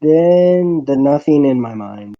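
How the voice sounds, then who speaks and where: A man reading a first-person visual novel story aloud, haltingly and with stumbles over the words. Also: a little high, animated, dull audio.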